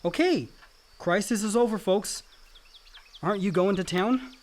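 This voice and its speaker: A man's voice: high voice, a little slow. A man acting an earnest, dutiful young adult son, the family peacemaker, in a naturalistic radio play. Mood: calm.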